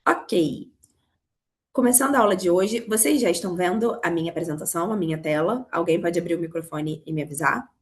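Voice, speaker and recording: A Brazilian woman from Rio with a Carioca accent, hoarse from a cold, teaching a live online class on money, talking straight to her students. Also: lowish voice, brisk. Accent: Carioca Brazilian Portuguese. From Rio de Janeiro, Brazil. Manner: explanatory, addresses students directly. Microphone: video call.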